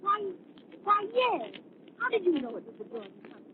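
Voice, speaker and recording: High-voiced vintage radio heroine. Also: female voice, big pitch swings, a little fast. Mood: eager. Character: sympathetic, questioning young lady companion of a sleuth. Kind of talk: 1940s radio acting. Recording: tinny, hissy old radio recording.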